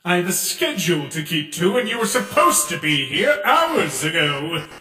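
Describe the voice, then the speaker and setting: A man's voice: on the high side, big pitch swings, normal speed, pushed and bright. A man voicing a vain, theatrical robot game show host in a comic dub, grandiose and gushing. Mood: showy, excited. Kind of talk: comic dub character acting. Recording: echoey hall reverb, music behind.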